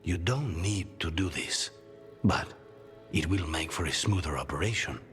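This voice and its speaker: Vengeful fantasy assassin, deep, restrained and dry, faint music behind. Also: male voice, unhurried, fairly bright tone. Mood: cool resolve. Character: cool, vengeful male assassin, controlled and dry. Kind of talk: cinematic fantasy game dialogue.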